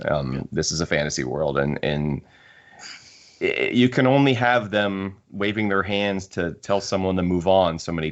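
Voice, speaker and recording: Deep-voiced man musing on a podcast. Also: fairly bright tone, dull audio. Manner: musing, circles back to rephrase points. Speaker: podcast co-host. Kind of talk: casual fan discussion.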